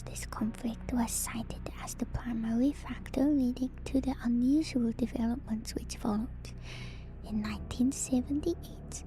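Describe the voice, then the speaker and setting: A woman doing a hushed, intimate ASMR stream, reading lore in a soft breathy whisper and adding casual asides to her viewers. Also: on the high side, rough recording. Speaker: streamer host. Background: music.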